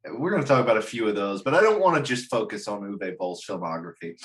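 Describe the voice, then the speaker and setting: A man hosting a casual chat show, talking in a halting, joking way with the odd mild swear. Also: lowish voice, lively intonation, a bit bright. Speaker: host.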